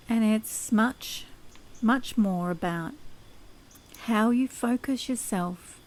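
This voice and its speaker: A woman leading guided sleep hypnosis in a soothing, unhurried, measured manner. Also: mid-range voice, a little mellow.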